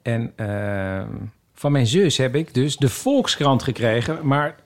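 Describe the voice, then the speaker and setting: A man's voice: medium pitch, lively intonation, takes it slowly. A man of about fifty chatting informally on a podcast, often restarting his thoughts. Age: 50s. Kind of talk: casual podcast chat.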